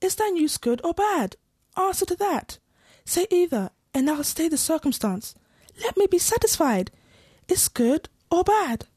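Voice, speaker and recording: An impatient girl in love acted by a young woman, pitched extremely high with lively swoops. She pleads eagerly in Shakespearean verse style, recorded close and dry. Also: measured pace, dry recording, heavily acted. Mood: impatient, pleading. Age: young adult. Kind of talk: Shakespeare audio play acting.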